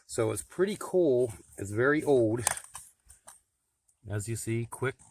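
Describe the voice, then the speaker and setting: A man's voice: medium pitch, lively intonation, takes it slowly, darkish tone, a little hiss or hum. A middle-aged man talking casually and plainly, like an informal hobby vlogger showing off his gear. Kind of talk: casual vlog talk.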